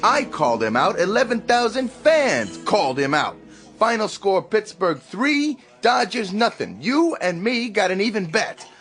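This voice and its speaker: Radio play role: combative barroom bookie, high and indignant, clear music underneath. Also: male voice, big pitch swings, a little slow, fairly bright tone, exaggerated voice. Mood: insistent. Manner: pushy, slangy, indignant. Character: combative, loud-mouthed male barroom bookie. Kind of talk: radio drama acting.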